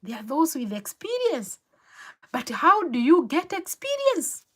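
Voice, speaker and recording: A woman's voice: high-pitched, huge pitch swings, unhurried. A Kenyan woman, native Kikuyu speaker, hosting a motivational live stream, earnest and encouraging, repeating her key phrases. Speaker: motivational livestream host. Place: home in New York City.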